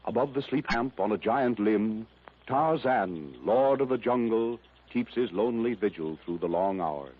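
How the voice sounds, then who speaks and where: Husky, solemn old-radio narrator. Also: male voice, fairly low pitch, expressive, unhurried. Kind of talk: scripted dramatic narration. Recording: muffled old radio transcription.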